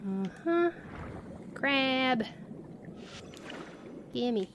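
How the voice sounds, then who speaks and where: A woman's voice: high-pitched, lively intonation, extremely slow, slight background noise. A woman giving casual livestream commentary on a video game, thinking aloud in a playful, exclaiming manner. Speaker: game streamer. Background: game music.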